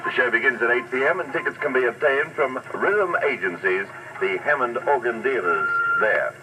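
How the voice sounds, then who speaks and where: A man's voice: medium pitch, expressive, normal speed, low-quality recording. A man presenting 1960s radio with courteous announcer phrasing, heard on an old tape. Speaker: radio disc jockey.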